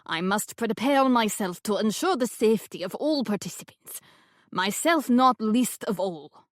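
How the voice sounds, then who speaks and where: A woman's voice: mid-range voice, lively intonation, a little slow, dry recording, studio quality, natural voice. A woman voicing a cold, theatrical fake medium speaking with haughty disdain. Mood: dramatic.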